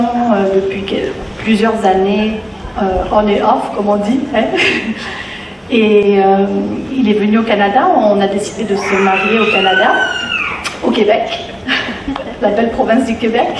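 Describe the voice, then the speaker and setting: A woman from France with a French accent, candidly telling her own story on a panel with wry asides. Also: mid-range voice. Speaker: panelist mother. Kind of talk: personal testimony on a panel. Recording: echoey room, mic far off, audible noise.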